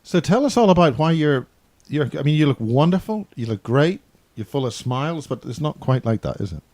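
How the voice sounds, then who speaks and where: A man's voice: a little high, big pitch swings, a little mellow, no room sound. A man hosting an interview, friendly and a little jokey, often restarting his sentences. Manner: friendly, joking, halting restarts. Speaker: host. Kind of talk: casual interview chat.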